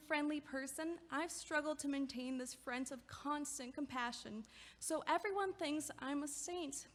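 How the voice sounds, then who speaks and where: An actress voicing a composed young woman who reads out her own breakup letter in a measured, soft, deliberate way. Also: high-pitched. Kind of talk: audio drama acting.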